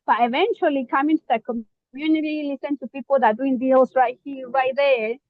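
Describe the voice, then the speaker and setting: A woman from Mexico with a Mexican Spanish accent, a real estate investor talking casually on a video call, often pausing and searching for words. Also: high-pitched, fairly even intonation, no echo, narrow, tinny audio. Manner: halting, searching for words, many fillers. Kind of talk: casual online meeting chat.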